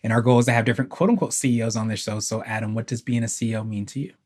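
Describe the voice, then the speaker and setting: A man with a fairly low voice hosts a business interview. He affirms his guest often and sums up what was said in long, flowing sentences. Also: fairly even intonation, rapid-fire, a little mellow. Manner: affirming, restates the guest's points at length. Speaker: podcast host. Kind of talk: conversational interview.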